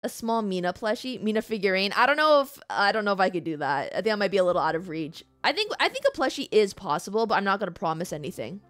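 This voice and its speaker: A woman's voice: medium pitch, big pitch swings, fast talker, few pauses, fairly bright tone, no echo, clean studio sound. A woman livestreamer chatting casually and playfully with her live audience in an informal, mildly sweary way. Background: background music.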